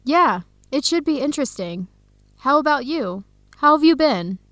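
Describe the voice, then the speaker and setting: Young woman, warm-hearted, expressive, fairly high pitch, conversational radio drama, dry studio. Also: normal speed, no echo. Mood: friendly, teasing. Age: young adult. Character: Helen, warm-hearted young woman, defensive about her boyfriend. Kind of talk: educational radio drama dialogue.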